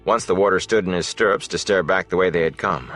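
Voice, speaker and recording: A man with a deep voice narrates a fantasy novel. His delivery is scripted audiobook storytelling. Soft music plays faintly behind him. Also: fast talker.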